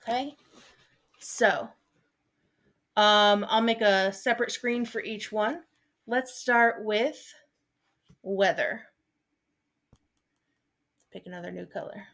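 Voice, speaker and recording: Thirtysomething woman teaching a lesson, slow and chatty, lots of ums and little asides. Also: medium pitch, fairly bright tone. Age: 30s. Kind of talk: recorded online lesson. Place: at home, seated at a desk.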